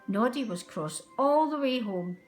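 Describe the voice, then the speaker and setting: A woman narrating a children's storybook aloud in an expressive, deliberate way. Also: a little high, soft background music.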